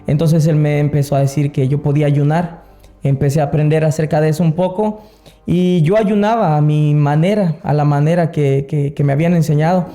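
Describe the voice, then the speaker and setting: A man's voice: a little high, fairly even intonation, fairly quick, warm tone, soft background music. A man with a Salvadoran Spanish accent, an interview guest casually telling his personal story. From San Salvador, El Salvador. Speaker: interview guest, worship singer.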